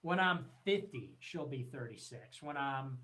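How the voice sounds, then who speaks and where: A man's voice: medium pitch, expressive, measured pace, fairly bright tone, slightly rough. A man giving an informal opinion monologue in an argumentative, emphatic way. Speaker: commentator in a played clip.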